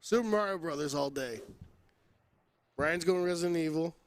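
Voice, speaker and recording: A man's voice: fairly high pitch, moderate pace. A man hosting a podcast with casual, playful banter and teasing, recorded close to the microphone. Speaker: podcast host.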